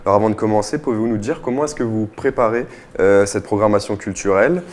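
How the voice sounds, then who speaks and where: A man's voice: on the low side, fast talker, darkish tone. A man hosting a radio interview, introducing segments and putting questions to a guest. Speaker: radio host.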